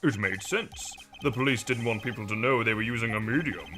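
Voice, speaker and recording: A man's voice: mid-range voice, a little fast, pushed and bright, lightly acted. A man playing a weary, pompous older lawyer in a game fandub, formal and theatrical, weighed down by guilt. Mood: confessional. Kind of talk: visual novel game fandub acting. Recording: music behind the voice.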